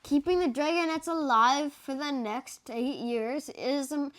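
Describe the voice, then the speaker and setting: A man's voice: extremely high pitch, unhurried, a bit bright. A young boy voicing an earnest, determined male sea dragon in a storybook read-aloud. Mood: urgent, determined. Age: child. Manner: hissing, grim, insistent.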